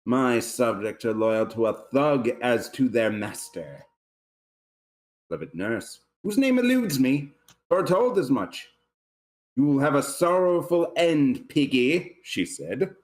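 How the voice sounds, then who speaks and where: Fallen lord , male reader: pompous, self-pitying, lofty declamation at an unhurried pace, mid-range voice. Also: mid-range voice, very animated. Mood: pompous, wounded. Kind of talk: amateur script table read.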